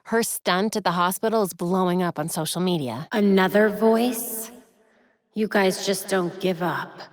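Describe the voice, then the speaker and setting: Woman acting a young video game heroine, American accent, wry, determined, crisp, conversational, dry studio sound. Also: medium pitch, a little slow, no room sound, close to an everyday voice. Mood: businesslike. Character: young crime-fighting superheroine, dry-witted, determined, brisk. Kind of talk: scripted video game voice lines. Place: recording studio.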